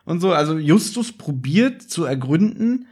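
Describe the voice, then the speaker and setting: A man in a casual chat, recapping an audio drama as a podcast host and retelling scenes in an animated way. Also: a little high, unhurried, breathy edge.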